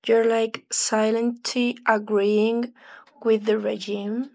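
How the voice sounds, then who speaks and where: A woman's voice: a little high, level tone, slow pace, darkish tone. A young woman, a student, moderating a semi-scripted group discussion. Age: young adult.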